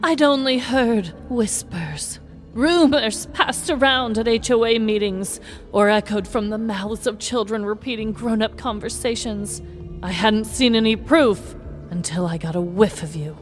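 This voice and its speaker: A woman's voice: fairly high pitch, unhurried, soft background music, close to an everyday voice. A woman playing a nosy neighbor in a comedy audio sketch, theatrical and conspiratorial. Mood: dramatic, conspiratorial. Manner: theatrical, conspiratorial, hushed storytelling. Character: nosy dramatic middle-aged woman neighbor.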